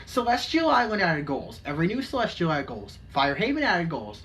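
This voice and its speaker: A woman's voice: lowish voice, very animated, fairly quick, a bit bright, recorded from a distance, a little hiss or hum, rough recording. A woman hosting a casual reaction commentary in a mocking tone, often stumbling and repeating words. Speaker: commentary host.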